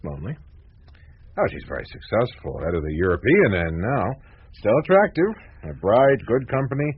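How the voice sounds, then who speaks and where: An actor playing a blunt, self-assured middle-aged American newspaper reporter in a radio drama. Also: male voice, on the low side, sing-song, moderate pace, breathy edge, muffled recording, full character voice. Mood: reminiscing. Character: cocky, gut-trusting veteran American male newspaper reporter. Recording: thin band-limited radio recording.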